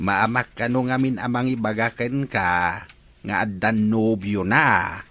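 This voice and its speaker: A man's voice: lowish voice, bright, forceful tone. A middle-aged man with an Ilocano Filipino accent playing a good-humoured, teasing father in an audio drama. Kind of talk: audio drama acting in dialogue.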